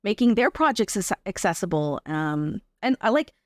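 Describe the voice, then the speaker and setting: A woman's voice: mid-range voice, sing-song, moderate pace, darkish tone, no echo, clean studio sound. A Canadian woman talking as an interview guest, explaining her work in a chatty, conversational way and often checking in with the listener. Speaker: interview guest, artist and arts accessibility advocate.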